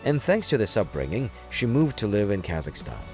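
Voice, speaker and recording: A man's voice: on the low side, very animated, fairly quick. A man reading scripted documentary narration and voice-over translation. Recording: music behind the voice. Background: background music.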